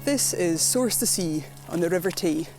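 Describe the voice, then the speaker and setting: A Scottish woman talking in a relaxed, reflective way while floating down a river outdoors. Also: on the low side, moderate pace, soft background music, poor audio. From Scotland. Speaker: presenter, endurance cyclist. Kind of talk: casual outdoor narration.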